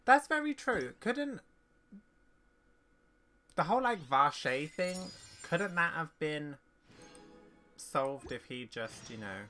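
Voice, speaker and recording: A man giving casual, informal reaction commentary while playing a video game, musing aloud in an animated way. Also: fairly high pitch, slow, long pauses, faint music behind.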